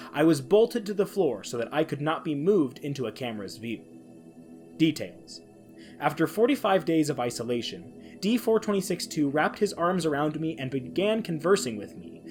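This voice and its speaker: Man, podcast host, slightly high pitch, highly varied melody, soft music behind. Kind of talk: dramatic reading of a science-fiction horror log; casual podcast sign-off.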